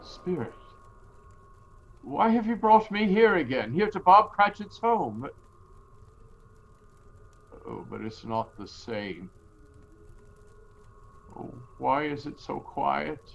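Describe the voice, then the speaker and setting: A frightened, repentant old miser played by a man in an audio drama, on a dull recording with a faint hum. The voice sits rather high and moves slowly, halting and pleading anxiously. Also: expressive, vocal fry, exaggerated voice. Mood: dread.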